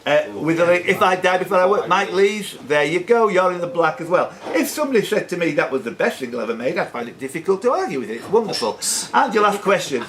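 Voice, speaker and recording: Animated higher-voiced man hosting a live quiz. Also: quick pace, hardly any pauses. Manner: jokey, chatty asides between questions. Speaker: quiz host and question reader. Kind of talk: live pub-style quiz reading with off-the-cuff banter. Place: sports and social club room. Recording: noisy live room recording.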